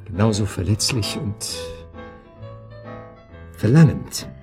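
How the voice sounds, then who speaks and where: A man's voice: lowish voice, very animated, slow, over music. An actor playing a nervous, courteous, flirtatious man in a radio drama, hesitant and stammering, with speech. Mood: charming, flirtatious. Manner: hesitant, stammering, formally polite. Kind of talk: German radio drama acting.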